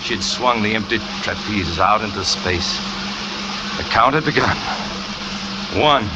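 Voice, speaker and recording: An actor playing a brooding trapeze artist who confesses in an intense, dramatic first-person radio thriller narration. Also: male voice, lowish voice, expressive, unhurried, very noisy. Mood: desperate. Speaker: narrating lead character.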